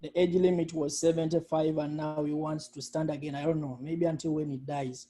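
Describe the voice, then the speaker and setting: A man in his thirties from Uganda, speaking slowly and deliberately as a panelist on a video call. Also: a little high, level tone, mellow. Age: 30s. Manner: slow, deliberate speech.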